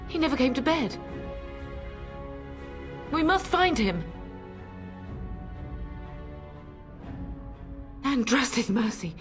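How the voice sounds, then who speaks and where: A woman's voice: mid-range voice, natural voice. A woman playing a middle-aged noblewoman mother in a fantasy video game, commanding, earnest and formal, fierce in her grief. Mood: urgent. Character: fierce, grieving noblewoman mother of a besieged castle. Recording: dramatic music behind.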